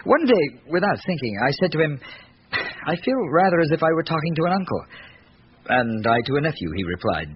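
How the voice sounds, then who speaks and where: Earnest, expressive young aristocrat. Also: male voice, fairly high pitch, a little fast. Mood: candid, reminiscing. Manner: reflective, articulate, hesitant in recalling. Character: earnest, well-bred grandson of an aristocratic French family, a witness. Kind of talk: radio drama acting. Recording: muffled, noisy old radio recording.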